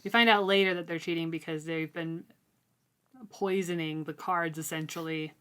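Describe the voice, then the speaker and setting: A woman co-hosting a casual, informal book discussion, talking in a halting, conversational way. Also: low voice, steady tone, a bit bright, clean tone. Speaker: co-host of a book read-along discussion.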